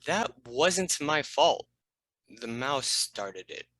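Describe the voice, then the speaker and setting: A man voicing a wry, mischievous talking cat with a grudging delivery in an animated comedy table read. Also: medium pitch, slow pace, dry recording, exaggerated voice. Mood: defensive.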